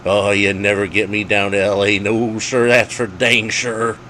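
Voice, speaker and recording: A man voicing a cranky, hard-of-hearing old rustic who barks folksy talk loudly in a story. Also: on the low side, flat delivery, measured pace, slight background noise. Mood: folksy, blustering. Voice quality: loud, folksy bark.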